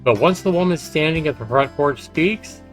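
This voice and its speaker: A man streaming a visual novel, reading it aloud haltingly with stumbles, self-corrections and casual asides. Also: on the high side, expressive, faint music behind.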